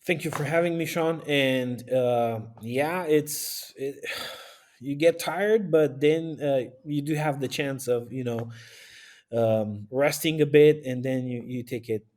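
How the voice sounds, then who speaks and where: A man with a Romanian accent answers interview questions in a casual, conversational way. His voice sits at a medium pitch, and his phrasing is halting, with pauses as he looks for words. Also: darkish tone. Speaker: interview guest, youth pastor and aid volunteer.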